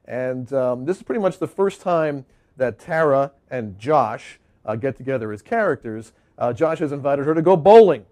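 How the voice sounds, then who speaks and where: A man's voice: mid-range voice, animated, moderate pace, darkish tone. A man casually hosting a web show in a chatty, rambling way as a filmmaker. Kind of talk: casual presenting to viewers.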